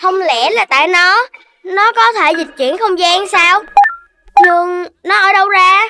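A performer voicing an excitable young puppy boy in a children's cartoon, talking in a childlike, exclamatory way. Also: very high-pitched, slow, faint music behind, full character voice. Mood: puzzled. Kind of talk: animated children's cartoon dubbing.

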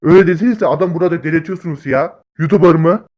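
Casting: male actor as excitable, bossy big-brother type for comic cartoon, brash and scolding, fairly high voice. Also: fast talker, dull audio, lightly acted. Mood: annoyed, scolding.

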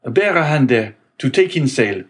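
A man voicing a calm, resolute young sailor in grief, speaking earnestly in a raised voice. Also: mid-range voice, measured pace, pitched above the performer's own voice, lightly acted.

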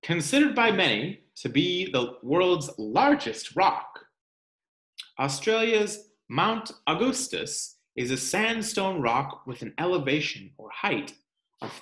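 A man in his thirties reads a picture book aloud to children at an unhurried pace. His pitch moves in lively swings as he leans on key words and explains as he goes. The sound is roomy, recorded indoors at home. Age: 30s. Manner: deliberate, explanatory, dramatic emphasis on key words. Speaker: read-aloud presenter. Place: small room at home, indoors.

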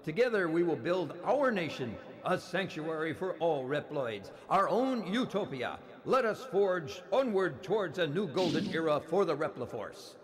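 Proud echoing android army colonel. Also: male voice, fairly high pitch, sing-song, normal speed, few pauses. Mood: rousing, fervent. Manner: declamatory, solemn. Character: proud male android army colonel rallying his troops. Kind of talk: rousing military speech, game cutscene acting. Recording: long reverberant hall echo.

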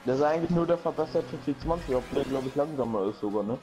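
A man's voice: medium pitch, expressive, fast talker, warm tone, soft background music. A man co-playing a racing game, laid-back and teasing, laughing often. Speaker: co-player. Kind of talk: casual let's-play chat.

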